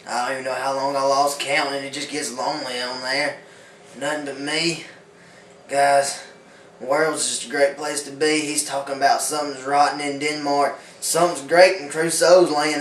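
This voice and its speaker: A man playing a castaway back from years alone, talking in a folksy way with rough grammar as a talk show guest. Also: mid-range voice, fairly bright tone, close to an everyday voice. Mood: cheerful. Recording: echoey room, far from mic, faint hiss.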